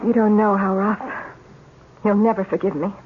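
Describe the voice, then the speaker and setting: An actress playing a troubled, guilt-stricken nurse and wife in a 1970s radio drama. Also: female voice, medium pitch, steady tone, normal speed, phone-quality sound, natural voice. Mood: guilty, strained. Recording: thin, noisy old radio.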